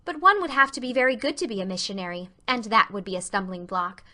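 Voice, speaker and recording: A woman's voice: medium pitch, fast, pushed and bright. A woman voicing an earnest, romantic, effusive schoolgirl in a dramatized audiobook, with gushing, dramatic delivery.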